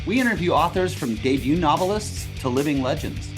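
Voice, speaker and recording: A man's voice: a little high, animated, fairly quick. A man reading a scripted, comic podcast promo as one of its hosts. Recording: produced promo over guitar music. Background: guitar music.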